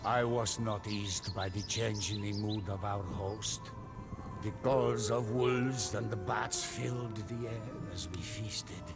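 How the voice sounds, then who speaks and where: An actor playing a solemn storyteller who recounts a medieval warlord's tale in dramatic game cutscene narration. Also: male voice, lowish voice, fairly even intonation, takes it slowly. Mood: uneasy, reflective. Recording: music behind the voice.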